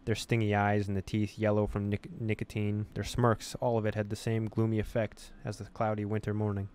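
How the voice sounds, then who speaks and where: A man's voice: fairly low pitch, completely flat delivery, brisk, darkish tone. A man reading a visual novel aloud as a gamer, mixing story narration with casual commentary. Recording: noticeable background noise.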